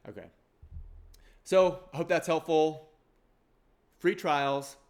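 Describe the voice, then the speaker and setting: A man's voice: on the high side, fairly even intonation, slow, a little mellow. A man in his forties with a Kansas accent and a nasal voice, talking casually about exam prep in a rambling, self-deprecating way. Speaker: CPA exam prep course owner. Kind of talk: casual talk to camera.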